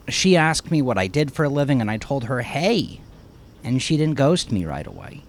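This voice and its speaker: A man's voice: mid-range voice, slight background noise. A man narrating a first-person story expressively, acting out the storyteller's reactions as he goes. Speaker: author narrating own horror story.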